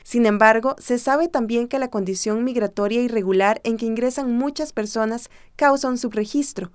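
Clear, smooth female radio news narrator. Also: a little high, moderate pace, no echo, clean studio sound. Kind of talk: scripted narration.

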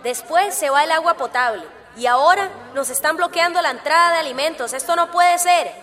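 A woman's voice: very high-pitched, fairly quick, sharp tone, some chatter behind. An actress playing a determined, indignant village woman activist in a radio drama, earnest and resolute.

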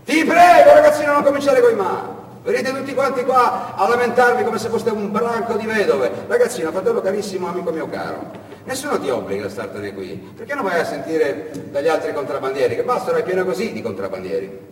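A man's voice: high-pitched, very animated, a little fast, a little hiss or hum, pitched above the performer's own voice. A man voicing a fat, mocking middle-aged male smuggler with a cackling, pushy manner. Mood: exasperated. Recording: echoey hall, distant mic.

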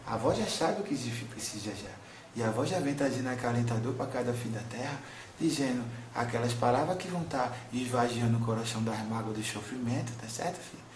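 A man's voice: medium pitch, fast talker, reverberant, slight background noise, close to an everyday voice. A man speaking as a wise, tender old grandmother spirit in a spiritual counselling session, soothing and calling the listener son again and again. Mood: gentle. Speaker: spirit medium.

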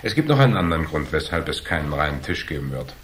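A man acting in a German crime radio drama as a cool, shrewd investigator who lays out his deductions in a measured, dry, needling way. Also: extremely low pitch, very animated, fairly bright tone, a little hiss or hum, heavily acted. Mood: analytical. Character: cool, shrewd hardboiled male investigator, calmly laying out deductions.

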